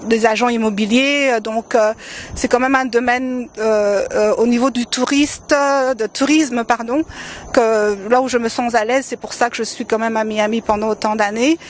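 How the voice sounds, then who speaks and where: A woman from Congo in her thirties talks through an interview, her voice sitting a little high. She stops often on fillers and lets small laughs slip between phrases. She speaks into a handheld mic held close by the interviewer. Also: steady tone, moderate pace, slight background noise. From Democratic Republic of the Congo. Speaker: interview guest, event organizer. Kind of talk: casual interview answers. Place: decorated holiday light display, indoors.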